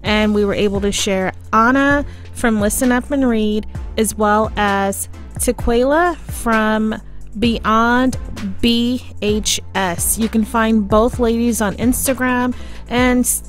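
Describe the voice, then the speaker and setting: A woman's voice: fairly high pitch, takes it slowly, faint music behind. An American woman hosting a podcast, talking conversationally and directly to listeners in a personal, casual style. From United States. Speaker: podcast host.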